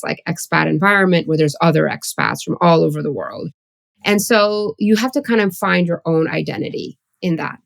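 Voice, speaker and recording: A woman's voice: on the low side, gravelly, no echo. A woman in her thirties, a psychotherapist raised in Saudi Arabia and Toronto, chatting casually as an interview guest, with lots of likes and ums. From Pakistani, raised in Saudi Arabia, moved to Toronto at thirteen.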